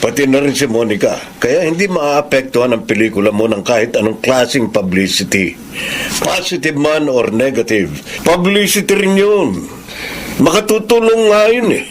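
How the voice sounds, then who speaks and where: A man's voice: medium pitch, expressive, moderate pace, a little hiss or hum, natural voice. An actor playing a stern, protective godfather in a radio drama, admonishing and reasoning with a Filipino accent. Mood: calm, reassuring. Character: stern, protective godfather in the film business, older mentor.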